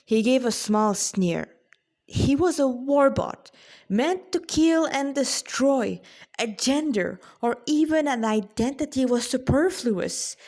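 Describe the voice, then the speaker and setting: A woman, an author reading her own story in a dramatic, deliberate way with informal asides. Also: on the high side, a little mellow.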